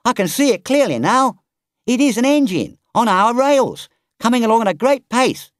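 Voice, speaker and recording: Man doing a firm, soft-hearted storybook train driver; higher than his own voice, lively, dry sound. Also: normal speed, no room sound. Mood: alarmed. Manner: earnest, urgent. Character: gruff but kind-hearted male engine driver.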